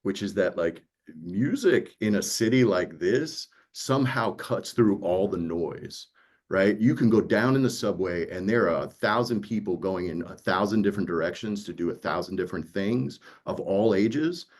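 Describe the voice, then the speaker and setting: A man's voice: deep voice, lively intonation, normal speed. A man speaking as a producer in a conversational panel, explaining earnestly and checking in with listeners. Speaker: audiobook producer. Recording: dull, lo-fi audio.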